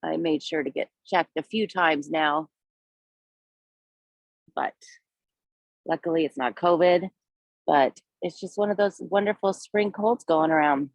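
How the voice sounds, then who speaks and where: A woman with a cold-worn, hoarse voice leads a live outdoor walking tour, chatting and pointing things out as she goes. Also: deep voice, unhurried, pauses often, no echo. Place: outdoors in a city park and streets.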